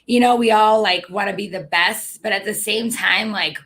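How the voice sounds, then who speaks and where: A woman's voice: mid-range voice, steady tone, normal speed, fairly bright tone. A woman hosting a casual interview, chatty and filling her sentences with "like.". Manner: chatty, lots of filler "like". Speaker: host.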